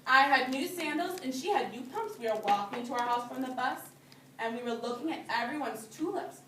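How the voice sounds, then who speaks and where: A high-pitched woman reads a children's story aloud in the manner of a classroom teacher, stopping to explain it simply. She is some way from the microphone in a roomy, echoing space, with a little background noise. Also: lively intonation, fairly quick, a bit bright, far from the mic. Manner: simple explanatory phrasing, addressing small children. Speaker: teacher reading a picture book aloud to young children. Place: indoor classroom.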